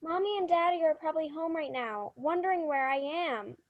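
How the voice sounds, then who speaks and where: Woman acting a high-voiced, anxious little girl. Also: brisk, lo-fi sound, close to an everyday voice. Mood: subdued. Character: anxious, stubborn, inquisitive little girl at Christmas. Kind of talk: old-time radio drama acting.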